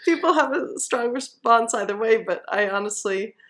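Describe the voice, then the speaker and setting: A woman's voice: a little high, animated, moderate pace, a bit bright. A woman musician chatting casually as an interview guest.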